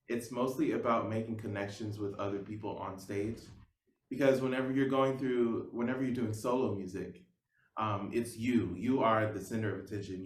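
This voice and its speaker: A young American man answers interview questions in a conversational way. His voice sits slightly low. He is recorded in an echoey room with faint noise. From Oklahoma, United States. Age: young adult. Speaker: cellist, music student.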